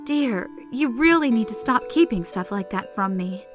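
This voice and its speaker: A woman's voice: a little high, lively intonation, normal speed, close to an everyday voice. Woman acting a caring, firm mother over guitar. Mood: mock stern. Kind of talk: audio drama acting.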